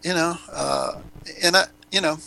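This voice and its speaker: Older man, podcast guest, rambling, blunt, gravelly, bright. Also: on the high side, measured pace. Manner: rambling, blunt, frequent restarts and mild swearing. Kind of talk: casual interview chat. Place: Ecuador.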